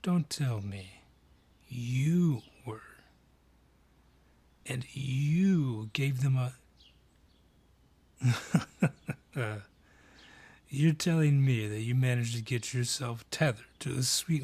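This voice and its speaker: A man's voice: medium pitch, slow, a little mellow, husky. An actor voicing a haughty male dryad with a grudge in an audio roleplay, sardonic and theatrical, drawing out each taunt. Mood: incredulous, amused. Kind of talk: scripted audio roleplay acting.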